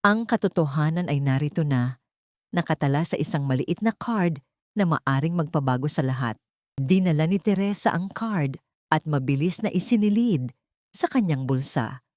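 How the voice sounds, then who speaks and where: Low-voiced Filipino woman narrating a drama. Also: darkish tone, no room sound, studio quality. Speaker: audiobook narrator. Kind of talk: dramatic scripted story narration.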